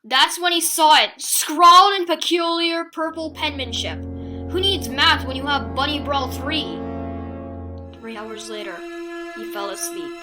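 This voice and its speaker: A woman with a high-pitched voice narrates a spooky picture book for children. She takes her time, pausing deliberately for suspense and playing up the drama. Music runs clearly underneath her reading. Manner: theatrical, suspenseful, with deliberate pauses. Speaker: children's storybook reader.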